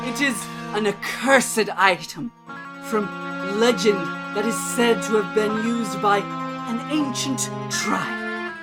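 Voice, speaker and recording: A woman voicing an unctuous, overwrought male mask salesman from a video game, theatrical and swinging between soft politeness and frantic intensity. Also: on the high side. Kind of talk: dramatic reading of game dialogue.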